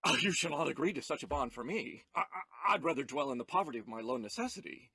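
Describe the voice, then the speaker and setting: Guy doing a worried younger pal in a shakespeare audio play, tense bright voice, bit rough, dry sound. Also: a little high, animated, fast talker, no echo, heavily acted. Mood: alarmed, protesting. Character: Bassanio, handsome younger man, anxious loyal friend. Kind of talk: theatrical Shakespearean audio drama acting.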